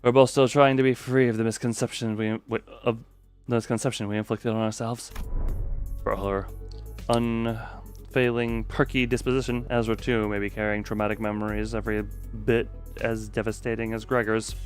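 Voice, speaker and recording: A man's voice: lowish voice, level tone, moderate pace, faint music behind, pitched below the performer's own voice, lightly acted. A man reading game story narration aloud as a grave, reflective narrator. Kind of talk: scripted narration read aloud.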